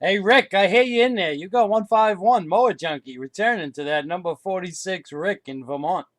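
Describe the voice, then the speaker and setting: A man's voice: sits high, normal speed. A man working as a CB radio operator, calling out contacts in a friendly way, repeating call signs and signing off.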